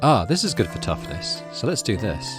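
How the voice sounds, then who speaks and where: A man with a fairly deep voice plays a game and comments casually. His pitch moves around a lot, and he sometimes mutters to himself. Soft music plays underneath. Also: big pitch swings, moderate pace. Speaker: gaming host. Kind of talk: casual gameplay commentary mixed with reading game text aloud.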